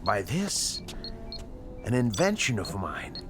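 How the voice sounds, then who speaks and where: An actor playing a calm, quietly pleased scientist in a radio drama, measured and soothing. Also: male voice, lowish voice, music in the background, full character voice.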